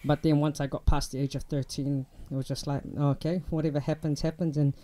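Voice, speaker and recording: Chatty young New Zealand man, mellow voice. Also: a little high, brisk. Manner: relaxed, chatty, many ums and restarts. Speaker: guest. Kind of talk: casual interview chat.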